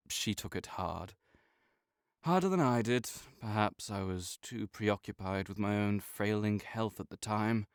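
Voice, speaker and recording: A man playing a sickly, proud and melancholy nobleman in an intimate audio roleplay, speaking formally with measured, old-fashioned phrasing. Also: fairly low pitch, no echo, studio quality, lightly acted.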